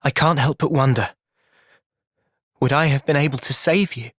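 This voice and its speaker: An actor playing an earnest, thoughtful young male hero in a fantasy video game, speaking sincerely and clearly. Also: medium pitch, normal speed, no room sound, clean studio sound, lightly acted. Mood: solemn, reflective. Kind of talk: video game cutscene voice acting.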